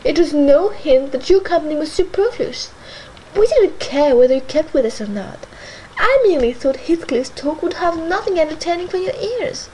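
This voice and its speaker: A woman's voice: sits high, lively intonation, moderate pace, dark tone, a little hiss or hum, low-quality recording. A woman reading aloud, voicing a proud, impatient young mistress who scolds emphatically. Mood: scolding.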